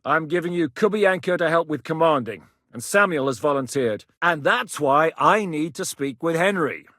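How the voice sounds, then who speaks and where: Commanding medieval captain, higher-pitched voice. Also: male voice, expressive, normal speed, bright, forceful tone, dry recording, clean studio sound. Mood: steady, commanding. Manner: authoritative, decisive, plain-spoken. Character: commanding medieval rebel captain, shrewd and resolute. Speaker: military leader planning operations. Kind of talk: video game dialogue acting.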